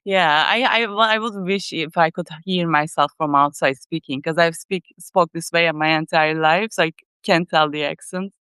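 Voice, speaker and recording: A woman's voice: deep voice, moderate pace, hardly any pauses, fairly bright tone, clear, resonant tone, no echo. A woman from Turkey with a Turkish accent, a guest writer chatting casually on a live video call, thoughtful and searching for words.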